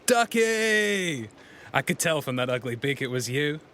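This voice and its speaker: A man's voice: a little high, very animated, a little slow, pushed and bright, slight background noise, full character voice. A young man playing a smug, needling duck brother in an audio drama, with a mocking, slangy way of talking.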